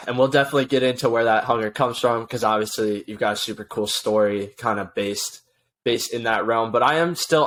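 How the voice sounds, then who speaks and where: Guy podcast host, mid-pitched voice, chatty and informal, rambly questions. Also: a bit bright. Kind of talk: casual interview chat.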